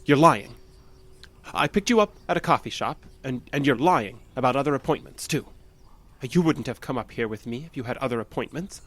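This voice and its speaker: An actor in an audio drama playing an obsessive, unstable male patient with a halting, nervous, insistent manner. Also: mid-range voice, animated, fairly bright tone. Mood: agitated, defensive. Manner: halting, nervous, insistent, stammering under pressure.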